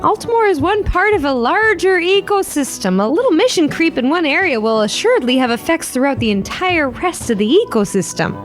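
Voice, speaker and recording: An actress playing a condescending, smooth-talking corporate regional coordinator in an audio dramedy, brisk and bureaucratic in manner. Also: female voice, sits high, sing-song. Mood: persuasive. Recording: music behind the voice.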